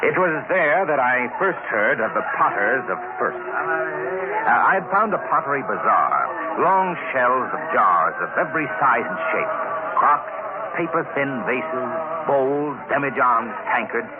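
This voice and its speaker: A hesitant young colonial clerk. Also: male voice, medium pitch, very animated, unhurried, phone-quality sound, loud music behind the voice. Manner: hesitant, with many stammered fillers. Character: curious young male colonial clerk narrator. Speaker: narrator and lead. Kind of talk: 1950s radio drama acting. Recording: scratchy old radio broadcast, loud hiss.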